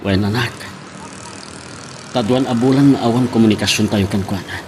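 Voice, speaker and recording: A man's voice: fairly low pitch, close to an everyday voice. Plaintive husband acted by a man, faint noise. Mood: worried, sad. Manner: plaintive, drawn-out. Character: lovesick, plaintive husband and father. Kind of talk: audio drama acting.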